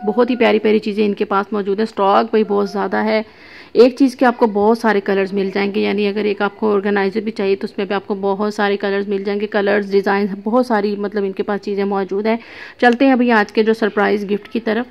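A woman's voice: mid-range voice, flat delivery, fast talker, gravelly, highs cut off. A woman showing items in a crowded shop, chatting to viewers and calling out prices in a repetitive way. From Pakistan. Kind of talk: casual shopping walkthrough.

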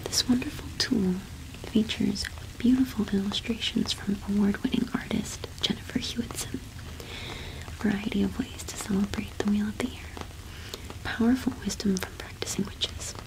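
A young woman whispering in a breathy, gentle, unhurried way, as in an ASMR roleplay. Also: mid-range voice, steady tone, poor audio. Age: young adult. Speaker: ASMR host playing a shopkeeper.

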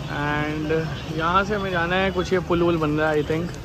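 A man's voice: a little high, steady tone, normal speed, noisy background. A man in his thirties chatting through a casual travel vlog, mixing English words into his Hindi, filmed on a handheld phone at arm's length in a busy street market. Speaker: vlogger. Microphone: phone camera at arm's length. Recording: street crowd and music behind.

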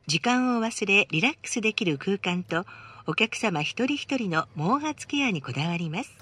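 Lively, melodic woman reading bus adverts. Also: mid-range voice, moderate pace, breathy edge. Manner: polite, formal. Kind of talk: scripted advertising announcement.

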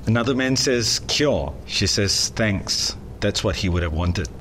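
A man trading jokes at a studio table, teasing and lively. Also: fairly low pitch, measured pace, a little hiss or hum. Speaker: game contestant. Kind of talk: joke-telling game banter.

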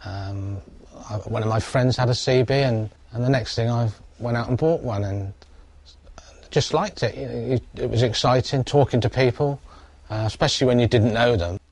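A man's voice: medium pitch, normal speed, darkish tone, no room sound. A middle-aged English man giving casual interview answers about his own experience. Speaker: radio shop worker being interviewed. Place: indoor workshop.

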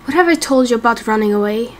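A woman playing a gentle, worried, reassuring father in a game roleplay, with some background noise. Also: on the high side, animated, fast talker, natural voice. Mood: gentle, concerned. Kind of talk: amateur game roleplay acting.

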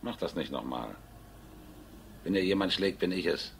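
An actor playing a menacing, sneering crook who mocks and threatens in a crime radio drama. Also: male voice, deep voice, animated, moderate pace, creaky, noisy background. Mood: mocking. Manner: mocking, threatening. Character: menacing, sneering male crook bullying his former lover.

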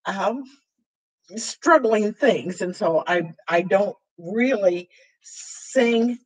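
Older woman, weak husky voice, slow. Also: medium pitch, lively intonation, poor audio. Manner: slow, halting, with frequent fillers while signing. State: voice weakened and hoarse after throat intubation. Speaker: sign language teacher. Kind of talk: slow explanatory sign language lesson. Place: small room with dark curtain backdrop, indoors.

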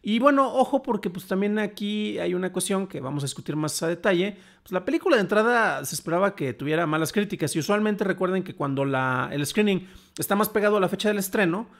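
A Mexican man in his thirties hosting a casual live show, speaking colloquially and haltingly. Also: sits high, expressive, gravelly. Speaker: host. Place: small home room, indoors.

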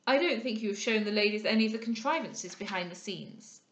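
A woman voicing a scheming, guarded gentleman in a period novel, formal and insistent. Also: on the high side, a little fast, a little hiss or hum, natural voice. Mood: insistent warning. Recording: echoey room.

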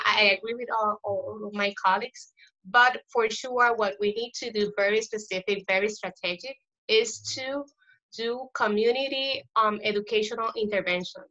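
A woman from Puerto Rico gives her view on a webinar panel. Her voice is bright and forceful but comes through a dull, lo-fi call line. She speaks earnestly, pausing to find English words. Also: a little high. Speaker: public health panelist. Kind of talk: panel discussion answer. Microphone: video call.

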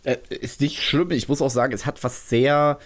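A man's voice: mid-range voice, normal speed. A man co-hosting a podcast, chatting casually, joking and asking questions. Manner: relaxed, joking, asks questions. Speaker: podcast co-host.

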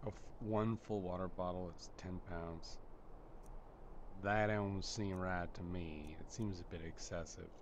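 A man's voice: low-pitched, steady tone, measured pace. A man giving casual, rambling gameplay commentary, musing to himself and repeating his phrases. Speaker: gameplay video host. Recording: very noisy, game ambience behind.

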